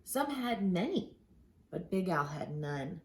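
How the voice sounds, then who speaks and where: A woman reading a children's picture book aloud expressively, with dramatic pauses and drawn-out words for emphasis. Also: lowish voice, sing-song, darkish tone, a little hiss or hum. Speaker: children's storybook reader.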